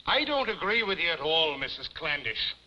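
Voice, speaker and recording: Blustering, piercing-voiced police chief. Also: male voice, a little high, big pitch swings, moderate pace, bright, forceful tone, faint music behind, exaggerated voice. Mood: indignant, firm. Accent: American. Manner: emphatic, officious, addresses woman formally as ma'am. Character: blustering hard-boiled police chief, gruff but courteous to ladies. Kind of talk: 1950s TV comedy acting. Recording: muffled 1950s television soundtrack.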